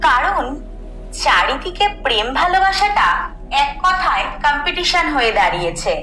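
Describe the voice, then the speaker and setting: Woman acting high, echoey, wise Friendship personified. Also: big pitch swings, pushed and bright, full character voice. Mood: critical. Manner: emphatic, didactic, deliberate phrasing. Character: Friendship personified, wise, firm peacemaker who lectures. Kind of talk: scripted audio story monologue. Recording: roomy echo, music bed underneath.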